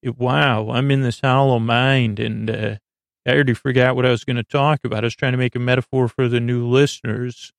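A man hosting a silly bedtime podcast, talking in a meandering, halting way with frequent stammers and tangents. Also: medium pitch, level tone, creaky, no room sound. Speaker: podcast host.

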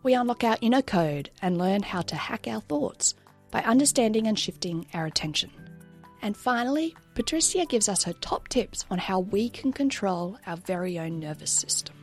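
A woman reads the show's scripted introduction with a lively, swinging pitch and an upbeat promotional delivery. Faint music plays underneath her. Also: normal speed. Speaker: podcast announcer. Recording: voice-over with music bed.

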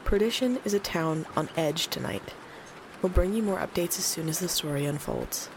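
A woman's voice: sits low, expressive, normal speed, creaky, background noise. A woman playing a concerned small-town late-night radio host in an eerie audio drama. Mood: grave, composed.

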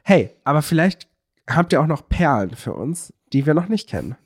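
A man's voice: fairly high pitch, very animated, normal speed, husky, dry recording, clean studio sound. Man chatting casually on a podcast. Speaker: podcast co-host.

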